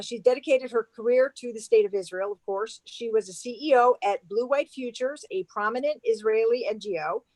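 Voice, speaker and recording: Woman host reading a speaker bio on a video call, bit high voice, dry sound. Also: darkish tone, dry recording, low-quality recording. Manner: reading a bio with small stumbles. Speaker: event host introducing speaker. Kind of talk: introduction from notes.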